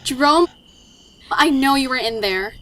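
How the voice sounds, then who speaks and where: A woman's voice: high voice, expressive, a little slow, sharp tone, slight background noise, heavily acted, low-quality recording. A woman playing an emotional, pleading young woman, frightened but devoted, in a dramatized audiobook. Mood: desperate pleading.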